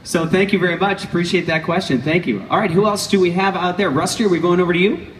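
Upbeat panel host, man, high tone; echoey PA from far off with crowd noise. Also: fast. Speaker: panel moderator. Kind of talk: hosting a Q&A. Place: outdoor stage at a fan event.

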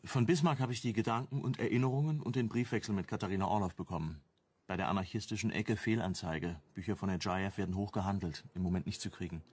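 An actor playing a plain-spoken, reflective book dealer in a radio crime drama, matter-of-fact in manner. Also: male voice, lowish voice, fairly even intonation, fast talker, darkish tone, husky. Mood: casual, curious. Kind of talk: radio drama narration and dialogue.

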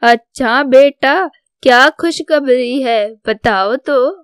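A woman voicing a proud, devoted older mother in a cartoon story, solemn and measured. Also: high-pitched, clean tone, no room sound, clean studio sound. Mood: encouraging. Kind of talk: scripted Hindi cartoon story dialogue.

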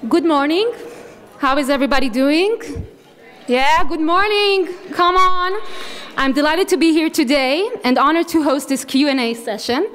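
A young woman with an Israeli accent opens a conference session from a ballroom stage. Her voice sits high, and she speaks upbeat into a handheld mic, coaxing the audience to answer her. It has the open sound of a large hall. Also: measured pace, a bit bright. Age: young adult. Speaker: moderator. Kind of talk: conference keynote introduction. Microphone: handheld microphone.

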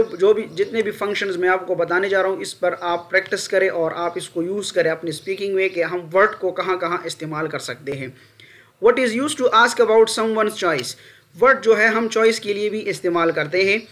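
A Pakistani man with a high-pitched voice gives a lesson as a teacher. He explains rules with examples in a didactic way, restating each point and often tacking on a short question to check understanding. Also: fairly bright tone. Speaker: English grammar teacher.

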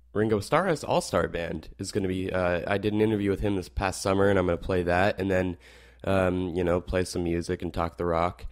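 A teenage boy hosting a rock radio show, slightly hoarse, wrapping up with rambling asides and many fillers. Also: low voice. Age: teens. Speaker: radio host. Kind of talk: casual show wrap-up.

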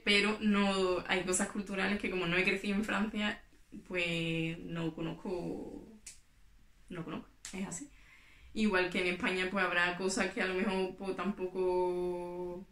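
A woman's voice: medium pitch, a bit bright, echoey room. A young woman from Spain chatting casually to camera as a knitting vlogger, explaining as she handles her work. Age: young adult. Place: small room in front of yarn shelves. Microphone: camera in front, at table distance.

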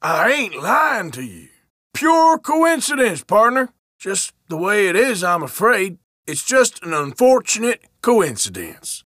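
A defiant Old West outlaw from a video game. He speaks in an unhurried American Western drawl, surly and evasive, with an edgy, forceful tone and pitch that swings widely. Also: sing-song, no echo, clean studio sound, exaggerated voice. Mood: protesting innocence. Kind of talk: video game character voice lines.

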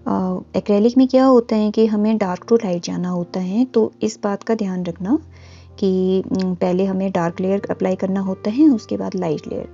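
A woman giving a calm, instructive voice-over for a step-by-step painting tutorial, pausing often with fillers. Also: medium pitch, creaky, highs cut off. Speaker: art teacher. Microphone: voice-over, camera on hands and canvas.

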